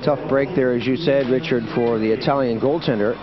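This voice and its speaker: A man from Canada doing steady, conversational play-by-play commentary in a commentary booth at an ice hockey arena. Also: mid-range voice, a little fast, over music. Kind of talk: sports commentary. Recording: dull broadcast audio with background noise.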